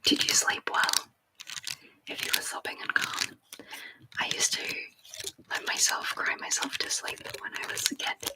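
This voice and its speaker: A woman's voice: measured pace, long pauses, low-quality recording. Whispering woman, rambling and chatty. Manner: soft rambling whisper, chatty and self-deprecating. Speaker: ASMR streamer. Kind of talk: whispered ASMR live stream chat. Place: home desk. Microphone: sensitive close mic.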